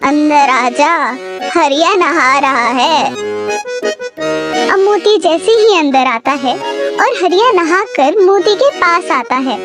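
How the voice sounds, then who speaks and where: A woman narrating a cartoon story from a script. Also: extremely high pitch.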